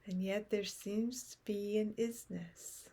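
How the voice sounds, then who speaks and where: A woman leading a guided meditation in a gentle, soothing manner, with phrases that often soften into breath. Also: medium pitch, fairly even intonation, slow, husky.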